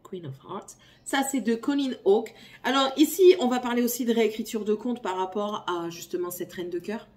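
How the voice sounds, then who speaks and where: A woman talks about her reading list at home while fighting off a cold, her voice hoarse and a little rough. She sits a short way from the microphone, so she sounds slightly distant. Her delivery is chatty and apologetic. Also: a little high, moderate pace, darkish tone. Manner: chatty, apologetic, coughing now and then. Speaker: book YouTuber. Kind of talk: casual reading-list chat, reading blurbs aloud.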